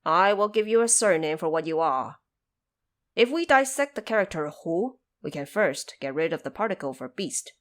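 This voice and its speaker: Woman playing a stern, animated old male master. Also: lowish voice, natural voice. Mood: pleased, instructive. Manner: commanding, deliberate, lecturing. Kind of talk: audio drama acting.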